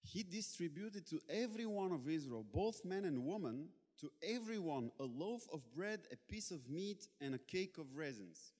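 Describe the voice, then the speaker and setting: A Bulgarian-born man preaching in English, pausing often as he searches for words, with a playful edge. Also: a little high, sing-song, a little mellow. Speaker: guest preacher. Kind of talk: sermon in English with interpreter. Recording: long hall reverb.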